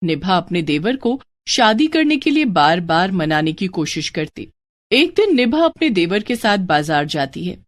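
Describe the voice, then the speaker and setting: Woman reading a scripted moral story, lively pitch, no room echo. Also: measured pace. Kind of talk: scripted Hindi moral bedtime story narration.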